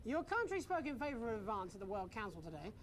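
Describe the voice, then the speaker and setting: A news anchor who tries to stay polished but keeps hesitating. His delivery lifts and dips a lot. Clear noise runs behind him. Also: high-pitched, sing-song, fast. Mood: flustered. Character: flustered male TV news anchor.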